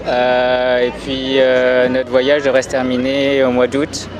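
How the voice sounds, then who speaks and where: A man from France, a traveling father, answering questions in a calm, matter-of-fact way with frequent fillers. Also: mid-range voice, slow. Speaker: interview guest, traveling father. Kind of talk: casual conversation. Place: busy city street outdoors. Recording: loud street crowd noise. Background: crowd and traffic.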